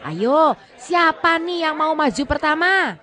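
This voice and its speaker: A woman playing a lively forum moderator who playfully coaxes and rallies a shy public, with a crowd around her. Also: high-pitched, slow pace, full character voice. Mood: rallying. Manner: coaxing, rallying, playful. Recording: crowd noise behind.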